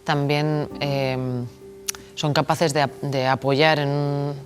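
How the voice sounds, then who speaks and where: A woman's voice: sits low, flat delivery, takes it slowly, faint music behind. A woman film director from the Basque Country with a Basque Spanish accent, answering interview questions in a thoughtful, hesitant way and often repeating words as she searches for them. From Basque Country, Spain.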